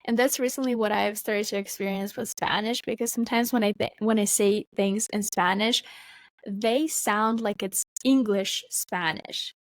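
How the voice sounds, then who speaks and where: A woman's voice: mid-range voice, steady tone, dry recording. Chatty woman, dry close sound. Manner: chatty, fast, peppered with "like". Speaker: podcast co-host. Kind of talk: casual chat.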